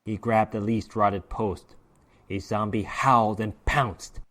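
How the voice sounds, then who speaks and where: A man's voice: on the low side, steady tone, slow pace, a bit bright. A man narrating a horror short story as a dramatic reading, with theatrical, emphatic delivery.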